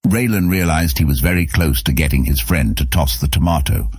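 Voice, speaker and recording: A man's voice: very deep voice, brisk, darkish tone, slightly rough, no room sound, clean studio sound. A man narrating a scripted fantasy story as an audiobook narrator.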